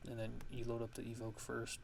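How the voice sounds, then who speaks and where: A man giving a casual software tutorial, haltingly thinking aloud as he goes. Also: medium pitch, completely flat delivery. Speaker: music production tutorial host. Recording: clear background noise.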